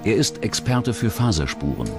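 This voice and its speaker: A man narrates a scripted true-crime documentary. His voice sits low and moves through animated intonation. Music plays clearly underneath the narration. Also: normal speed. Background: background music.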